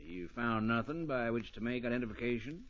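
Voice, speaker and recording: An actor playing a fussy, morbidly keen frontier doctor in an old radio western, muttering and repeating himself pedantically. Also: male voice, mid-range voice, expressive, a little fast, a bit bright, lightly acted. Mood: musing, pedantic. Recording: dull old radio recording.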